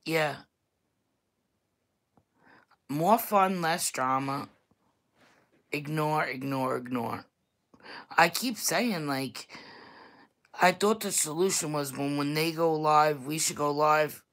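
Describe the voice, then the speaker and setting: Deep-voiced woman with thick Staten Island accent. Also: slow, pauses often, fairly bright tone. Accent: Staten Island New York. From New York. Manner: chatty and blunt, laughs often. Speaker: YouTube vlogger. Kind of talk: casual livestream chat to viewers.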